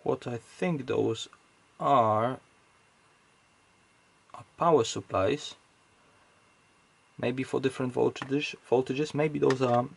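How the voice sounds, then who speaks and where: A man's voice: mid-range voice, slow pace, creaky, long pauses, husky. A man giving casual, off-the-cuff technical commentary as he hosts an electronics teardown, hesitantly thinking aloud as he goes.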